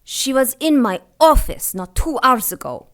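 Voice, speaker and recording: A woman's voice: high voice, big pitch swings, measured pace. An actress playing a scornful, commanding cult seneschal in a pulp radio drama, condescending to those beneath her. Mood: cold contempt. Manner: condescending, commanding, clipped orders. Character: imperious, scornful female seneschal of secret sinister cult.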